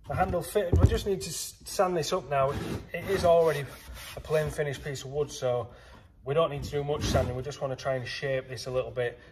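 A man in his thirties talks viewers through a woodworking build in a relaxed, chatty way. His voice sits a little high. The sound bounces around a hard-walled workshop, with a faint hum underneath. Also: brisk. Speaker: woodworking channel host. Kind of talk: casual workshop tutorial. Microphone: handheld phone camera, moving. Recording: echoey workshop with faint noise.

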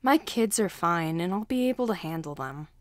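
Woman as a weary, gruff male daycare teacher. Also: on the low side, lively intonation, moderate pace, no echo, studio quality, natural voice. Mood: tired dismissive.

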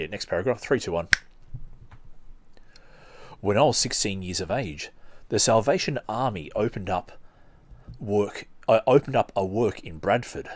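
A man hosting a podcast, reading aloud and commenting casually in a halting, rambling way. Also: fairly low pitch, no room sound. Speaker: podcast host.

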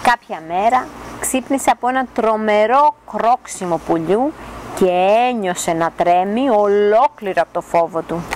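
A woman's voice: a little high, poor audio. A young woman reading a children's fairy tale aloud expressively, recorded outdoors in a garden. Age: young adult. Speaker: storyteller reading a children's book. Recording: clear outdoor background noise.

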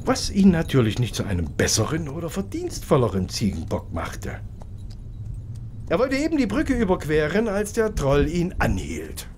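A man narrating a scripted fairy-tale parody in an expressive, mock-earnest storybook manner. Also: a little high, moderate pace, a little hiss or hum.

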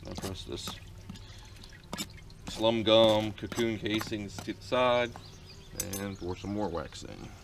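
A middle-aged man with a lowish voice walks through a beekeeping chore outdoors, rambling and restarting his sentences as he goes. He is picked up by a camera mic in a farmyard, with faint outdoor ambience behind him. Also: slow, vocal fry, pauses often, background noise. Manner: rambling, many fillers and restarts. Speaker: beekeeper showing a how-to. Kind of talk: casual how-to demonstration.

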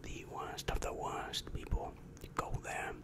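A breathy whisper, close on the mic, carries a soothing demon counselor of hell, played by a young man. He explains things patiently at a very slow pace, calm and reassuring in the manner of an ASMR roleplay. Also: natural voice. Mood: patient, instructive. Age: young adult. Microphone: close-up microphone with foam windscreen.

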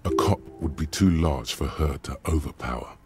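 A man voicing a grave, brooding narrator in a game, reading in a measured, literary way. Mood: grave, watchful. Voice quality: very deep, gravelly. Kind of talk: scripted second-person game narration.

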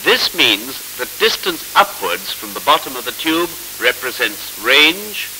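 Bright, forceful 1940s training film narrator, man. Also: mid-range voice, sing-song. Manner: formal, measured, explanatory. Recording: scratchy hissy 1940s film soundtrack.

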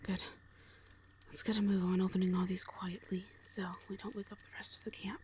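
Woman acting a hushed, wary fighter woman. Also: medium pitch, little pitch movement, brisk, slight background noise, lightly acted. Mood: urgent, resolute. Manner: firm, hushed, terse. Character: wary, commanding armed woman scouting a camp. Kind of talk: audio roleplay drama acting.

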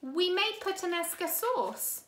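A woman's voice: very high voice, sing-song, unhurried, fairly bright tone, reverberant, voice pushed up, full character voice. A woman voicing an anxious orphan girl. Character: frightened teenage girl orphan, earnest.

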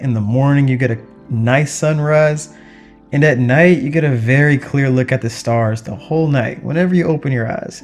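A young man narrates his travels in a casual, upbeat voice of medium pitch. He talks conversationally, like a vlogger sharing a trip, with music playing behind him. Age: young adult.

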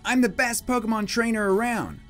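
A man's voice: very high voice, fairly quick, a bit bright, soft background music, pitched above the performer's own voice. A man voicing a cocky, boastful young boy trainer in a comic dramatic manga reading. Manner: boastful, exaggerated.

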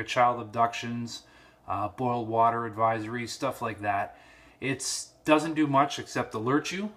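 A man's voice: medium pitch, a bit bright. A man giving an informal, slightly rambling hands-on product review, recorded indoors on a handheld phone. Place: indoor room at home. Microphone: handheld cell phone.

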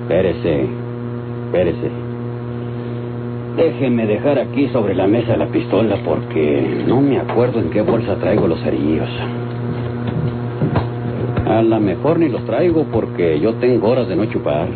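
A man's voice: lowish voice, big pitch swings, unhurried, long pauses. Grumbling Mexican gunman, swooping voice. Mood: muttering, distracted. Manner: rustic slang, grumbling. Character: weak, indignant rustic Mexican gunman henchman. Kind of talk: old-time Mexican radionovela acting. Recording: muffled old radio recording with music.

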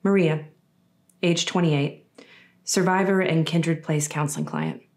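A young woman with a fairly low voice reads a personal testimonial. Her delivery is deliberate, broken by dramatic pauses. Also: a little mellow. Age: young adult. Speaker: reader of a survivor's story. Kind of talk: read first-person testimonial monologue. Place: indoors against a dark backdrop.

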